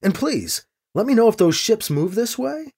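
A man's voice: high voice, very animated, normal speed, mellow, slightly rough, voice pushed up, full character voice. A man voicing a wry church agent priest in an audio drama, polite and probing with dry sarcasm. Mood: reassuring. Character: wry, smooth-talking male priest and church agent, deferential yet sarcastic.

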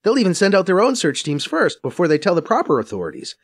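A man's voice: high voice, fast talker, voice pushed up, exaggerated voice. A man voicing a brash, profane female undercover agent who teases and swears freely, in an audiobook. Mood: brisk, explaining. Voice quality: raised, lively, cocky voice. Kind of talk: audiobook character voice.

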